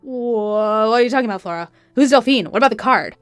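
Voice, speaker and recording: A woman's voice: on the high side, fast talker, clean tone, no echo, faint music behind, lightly acted. A woman voicing a flustered, evasive cheating husband in a narrated story, stammering and pleading.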